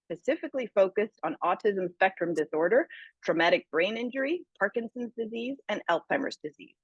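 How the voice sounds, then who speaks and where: A woman's voice: mid-range voice, husky, no echo, dull audio. Woman reading panelist bios aloud. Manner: brisk, formal bio reading. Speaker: panel moderator.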